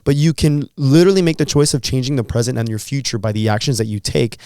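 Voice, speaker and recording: A man in his thirties talks with earnest encouragement as a podcast co-host, often repeating words while he gathers his thoughts. His voice is smooth and resonant at a middling pitch. The sound is dry and close, with no room around it. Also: steady tone, quick pace, dark tone, no echo. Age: 30s. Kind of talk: casual motivational chat.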